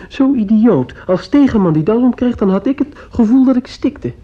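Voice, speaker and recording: A man's voice: extremely high pitch, lively intonation, moderate pace, warm tone. An actor playing a feverish fifteen-year-old boy in a radio play, earnest and dreamy, hesitantly groping for words. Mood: earnest, puzzled. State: ill, sore throat. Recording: dull lo-fi old radio recording.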